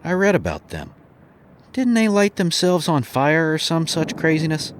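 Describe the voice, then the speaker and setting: A man's voice: a little high, big pitch swings, moderate pace. An actor playing a sarcastic, wisecracking male guest in a horror audio drama. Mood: incredulous. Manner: sarcastic, joking. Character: flippant, wisecracking horny young male guest, Alec.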